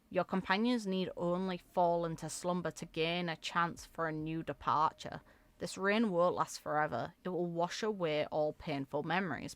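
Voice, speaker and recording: A woman gaming creator reading story text aloud in an earnest, expressive way. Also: fairly low pitch, dry recording.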